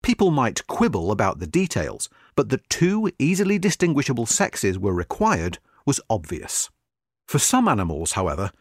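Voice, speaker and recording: Lively-pitched man reading an article. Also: husky, no echo, studio quality. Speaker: article narrator. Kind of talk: scripted narration of a history magazine article.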